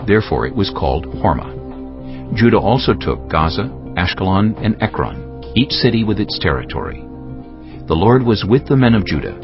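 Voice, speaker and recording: Man, scripted scripture narration, deep, solemn, slightly dull recording, clear music behind. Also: big pitch swings, moderate pace.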